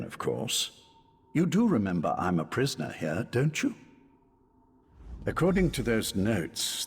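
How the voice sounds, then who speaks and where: A man's voice: deep voice, husky, exaggerated voice. A man acting an arrogant, sardonic imprisoned elf scholar in a video game, articulate and bitter. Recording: long hall reverb on voice.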